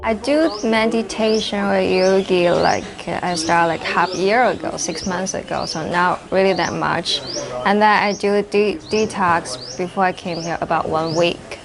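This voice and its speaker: A woman giving a halting testimony as a retreat participant, filling with "like" and "uh". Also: fairly low pitch, fairly even intonation, vocal fry. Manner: halting, fills with "like" and "uh". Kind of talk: documentary testimony. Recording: crowd noise behind voice.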